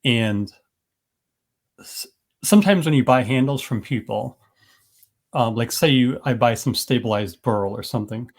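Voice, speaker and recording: A man's voice: mid-range voice, unhurried, pauses often. A man with a mid-range voice hosting a casual podcast interview. He rambles along in a friendly way, restarting his sentences as he goes. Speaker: podcast host.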